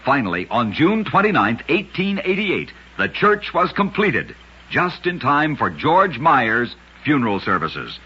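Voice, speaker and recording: A man's voice: medium pitch, very animated, a little slow, sharp tone, breathy edge, dull audio. A man narrating short scripted stories as a radio host, with a dramatic, emphatic delivery, on a vintage radio recording.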